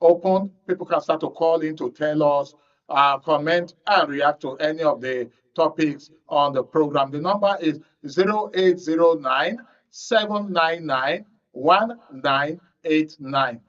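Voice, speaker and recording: A man's voice: a little high, a little slow, a bit bright. A man with a Nigerian accent presenting a live radio talk show as its host. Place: radio studio. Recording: distant, slightly muffled studio audio.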